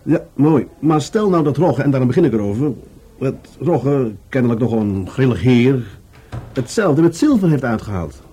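A man's voice: mid-range voice, expressive, moderate pace, dark tone, slightly rough. A man acting in a Dutch radio play as an earnest, thoughtful police adjutant, politely and persistently explaining to his superior.

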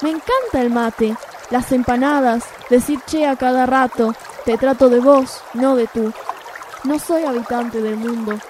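A woman reciting a poem in a slow, deliberate, solemn way. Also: fairly high pitch, steady tone, a little mellow, a little hiss or hum. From Wallmapu, Patagonia. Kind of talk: poetry recitation.

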